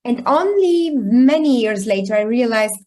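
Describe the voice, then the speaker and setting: A woman from Bulgaria, speaking English as a guest in an online talk, telling a personal story thoughtfully and pausing often with ums. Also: a little high, animated, warm tone. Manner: reflective, thoughtful, with frequent pauses and ums. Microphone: video call.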